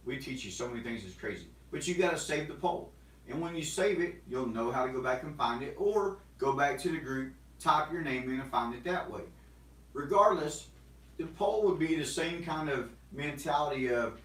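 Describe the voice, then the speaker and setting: A man in his forties hosting a casual, energetic sales talk to camera, rambling with restarts. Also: fairly high pitch, roomy sound, recorded from a distance, low-quality recording. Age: 40s. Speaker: host. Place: small studio room with banners and props.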